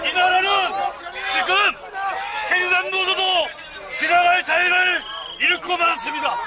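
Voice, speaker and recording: A man's voice: very high voice, little pitch movement, unhurried. A man giving an emphatic protest speech, declaiming in short, broken phrases. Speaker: protest speaker. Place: outdoor street rally in a city. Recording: outdoor street recording with loud crowd noise. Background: loud crowd and urban noise.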